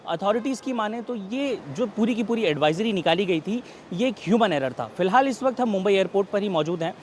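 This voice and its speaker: Male on-scene correspondent, high register, wordy and repetitive reporting, slight urban background noise. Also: lively intonation, brisk. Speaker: field news reporter. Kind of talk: live-style on-location reporting. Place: outdoors at an airport. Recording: faint outdoor traffic noise.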